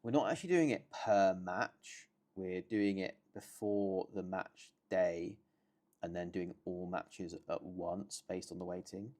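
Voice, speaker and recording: A man's voice: a little slow, a little mellow. A man thinking aloud while he codes, muttering half-finished remarks to himself. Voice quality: low, gravelly voice. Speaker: solo coding streamer.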